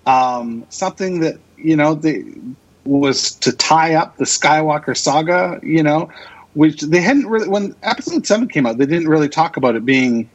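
A man's voice: fairly high pitch, animated, moderate pace, highs cut off. A man chatting casually and with opinions as a podcast guest, speaking with enthusiasm and emphatic repetition. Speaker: podcast guest, radio drama producer.

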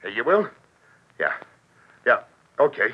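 An actor playing a rough, jumpy gangster henchman in a 1940s radio crime drama, talking in stammering street slang. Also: medium pitch, huge pitch swings, unhurried. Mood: frightened, pleading. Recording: muffled, noisy old 1940s radio broadcast.

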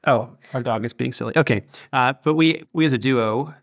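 Male audio drama producer, conversational podcast guest, average-pitched voice, very dry recording. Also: fairly quick, no echo. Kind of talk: casual interview conversation.

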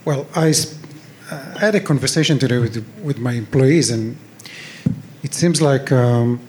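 A man who runs a kitchen with employees, speaking haltingly with many pauses on a live panel. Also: medium pitch, darkish tone, slight background noise. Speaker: chef with employees. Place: restaurant with a live audience.

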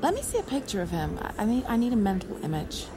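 A woman's voice: medium pitch, sing-song, quick pace, noisy background, lightly acted. An actress playing a brash, flirty woman who teases bluntly, in a naturalistic audio drama. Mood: friendly. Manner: blunt, teasing, crude-humoured.

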